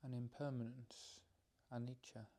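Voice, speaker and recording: Slow, mellow, even-toned man teaching meditation. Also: mid-range voice. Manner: hesitant, measured, with long pauses. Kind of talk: unscripted guided meditation talk.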